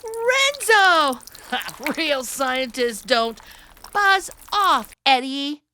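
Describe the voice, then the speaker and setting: Woman playing a high, dramatic young girl scientist. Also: big pitch swings, drawn-out words, bright, forceful tone, full character voice. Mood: exasperated. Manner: emphatic, exclamatory. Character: determined, dramatic young girl scientist, easily exasperated by her dog.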